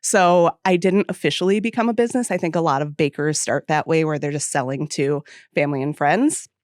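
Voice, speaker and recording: Podcast guest, woman in her 40s from central New York, lowish voice, chatty storyteller, dry close mic. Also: a little fast, darkish tone, dry recording. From central New York state. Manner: chatty storyteller, laughs easily. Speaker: guest, home baking business owner. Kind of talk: casual podcast interview.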